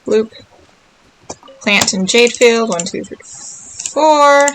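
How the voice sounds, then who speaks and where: A woman's voice: fairly high pitch, very slow, fairly bright tone, a little hiss or hum, poor audio. A young woman giving casual game playthrough commentary, tossing offhand, playful asides to the characters. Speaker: game streamer.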